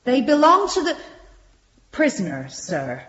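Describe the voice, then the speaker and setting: A lively-pitched, meek servant. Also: female voice, a little slow, heavily acted. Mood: subdued. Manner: meek, earnest, deferential. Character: nervous, deferential Victorian servant woman testifying, a cook. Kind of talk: British radio drama acting. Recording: muffled, echoey room recording.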